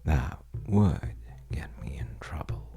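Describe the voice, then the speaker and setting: A man playing a charming, shifty stranger at a bar in an intimate audio roleplay, telling wry, teasing tales in a hesitant, gravelly way. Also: animated, slow pace, mellow, whispered, faint music behind, full character voice. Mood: sly, wry. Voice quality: very deep, gravelly, breathy at times. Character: well-dressed, untrustworthy charming male stranger at a bar, bragging playfully.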